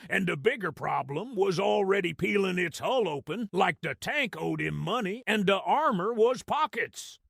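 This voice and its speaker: High, gravelly goblin storyteller. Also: male voice, a bit bright, no room sound, clean studio sound, full character voice. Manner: rough colloquial dialect, theatrical, wry. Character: small boastful grot goblin storyteller, sly and reverent. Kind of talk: first-person audio drama storytelling.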